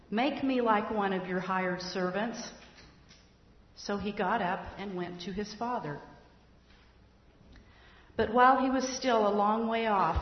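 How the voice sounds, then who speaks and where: Woman reading the bible out loud, slow and careful, roomy church sound, kinda muffled. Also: medium pitch. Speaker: scripture reader. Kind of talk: reading scripture aloud in church. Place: church sanctuary. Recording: echoey hall, dull lo-fi sound.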